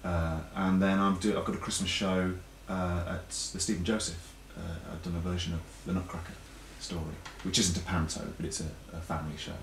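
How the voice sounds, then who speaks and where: A man's voice: deep voice, little pitch movement, fairly quick, hardly any pauses. A British man talking casually as a chat show guest, explaining things in a chatty way and often restarting his phrases. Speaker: interview guest, pantomime writer and performer. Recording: echoey room, distant mic, faint background noise.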